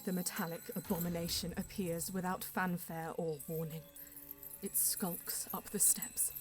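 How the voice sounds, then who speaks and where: A woman's voice: on the low side, flat delivery, measured pace, natural voice. A woman playing a solemn elf seer, narrating an audio drama in intense, poetic first person over music. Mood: wary. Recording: music behind the voice.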